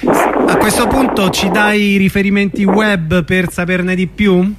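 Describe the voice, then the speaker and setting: A man's voice: high-pitched, fairly even intonation, measured pace, heavy background noise. A male radio host asking his guest questions in a curious, conversational way. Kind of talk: radio interview. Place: radio studio.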